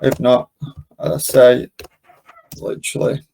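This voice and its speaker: Guy doing a software demo webinar, creaky mellow voice, kinda hesitant step by step explaining. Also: mid-range voice, level tone, measured pace, slight background noise, low-quality recording. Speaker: webinar presenter and software demonstrator. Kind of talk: live software demo with spoken Q&A.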